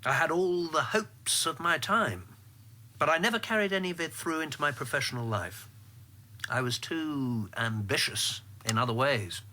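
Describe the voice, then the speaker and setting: A middle-aged government insider in a radio drama. The voice is bright and firm, with animated pitch movement. He is articulate, measured and drily ironic, keeping his guard up. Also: a little hiss or hum. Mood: guarded, dry. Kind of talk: radio drama narration and dialogue.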